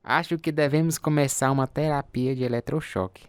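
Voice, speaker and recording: A man acts a concerned psychiatrist in a radio drama, speaking at a middling pitch. His manner is clinical and probing, built around careful questioning. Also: steady tone, fairly quick, soft background music, close to an everyday voice.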